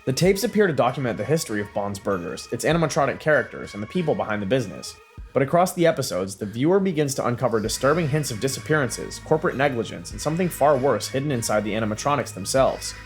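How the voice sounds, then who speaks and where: A man reading scripted explainer narration in a measured, even documentary manner. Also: medium pitch, faint music behind.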